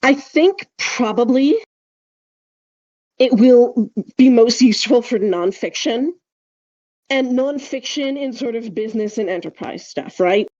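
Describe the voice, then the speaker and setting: A woman's voice: on the high side, unhurried, a little mellow, no echo, muffled recording. A woman chatting casually as a podcast co-host, wry, opinionated and emphatic in how she makes her points. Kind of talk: casual speculative podcast chat.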